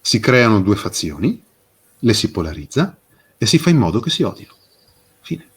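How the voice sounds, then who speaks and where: Husky man, animated and emphatic. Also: fairly low pitch. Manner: emphatic, rhetorical questions with dramatic pauses. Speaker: interview guest, fairy tale expert. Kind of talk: impassioned explanatory interview talk.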